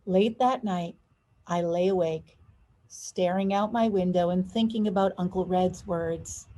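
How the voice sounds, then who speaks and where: A woman reading a children's storybook aloud in an expressive, dramatized storytelling manner. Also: fairly low pitch, measured pace. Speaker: school leader reading aloud.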